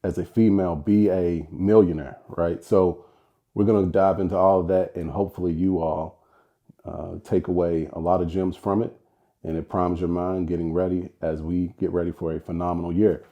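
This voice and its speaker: A man's voice: low-pitched, level tone, normal speed, dull audio. A man hosting a podcast and interviewing his fiancée, with an upbeat manner. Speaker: podcast host.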